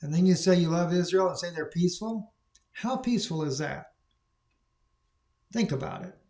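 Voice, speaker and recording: A man's voice: high-pitched, lively intonation, moderate pace, vocal fry, a little mellow. A man giving rambling, opinionated solo commentary on the news, emphatic and full of fillers. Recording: echoey room recording.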